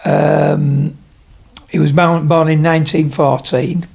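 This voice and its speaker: Gravelly older man reminiscing. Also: a little high, little pitch movement, slow pace, darkish tone. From Leeds, England. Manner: rambling, digressive, many fillers. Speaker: podcast guest, retired tradesman. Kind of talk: casual storytelling interview. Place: his home.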